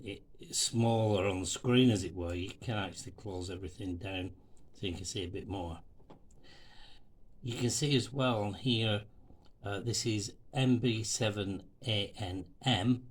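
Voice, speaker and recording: A man presenting an informal amateur radio tutorial in a halting, step-by-step way. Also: fairly low pitch.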